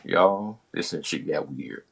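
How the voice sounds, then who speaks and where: A man hosting a podcast, telling a funny personal story casually with animated, slangy retelling and heavy swearing. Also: rumbling low, takes it slowly, gravelly, husky. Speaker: podcast host.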